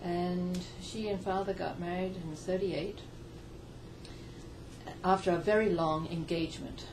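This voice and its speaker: An older woman from Zimbabwe, a guest in a radio interview, gracious and quick to laugh. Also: lowish voice, steady tone. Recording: distant mic with noticeable noise.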